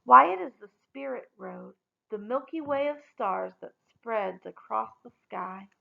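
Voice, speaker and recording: A woman's voice: on the high side, animated, a little slow, vocal fry, phone-quality sound, heavily acted. A woman voicing a brave, poor young outcast girl in a storybook, earnest and assured. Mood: earnest, sure.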